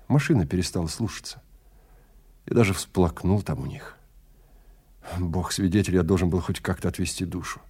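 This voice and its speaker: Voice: low-pitched, a little mellow, husky. A man acting a tense, weary husband on the run in an audio drama, speaking in a grave, terse way.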